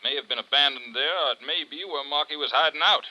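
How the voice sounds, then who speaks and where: An American actor playing a tough, businesslike police detective in a vintage radio crime drama, gruff and terse. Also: male voice, fairly high pitch, quick pace, sharp tone, lo-fi sound. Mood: brisk. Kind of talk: 1940s-50s radio crime drama acting.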